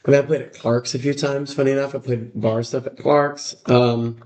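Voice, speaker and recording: A man with a raspy voice, a musician guest chatting casually on a podcast, rambling with lots of fillers. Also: mid-range voice, little pitch movement, moderate pace, darkish tone. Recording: roomy echo.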